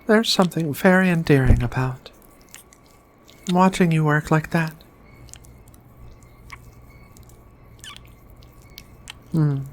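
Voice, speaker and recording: Woman as a slow, low-voiced gentle giant alien woman. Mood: tender, admiring. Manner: tender, hesitant, murmuring hums between phrases. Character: gentle giant female alien xenobiologist, affectionate, bookish. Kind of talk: soft-spoken ASMR roleplay.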